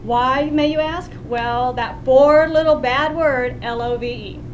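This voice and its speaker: A woman's voice: sits high, measured pace, muffled recording, a little hiss or hum. An older woman with a Texan accent giving a homey, chatty personal narrative speech for a class, with small restarts. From West Texas.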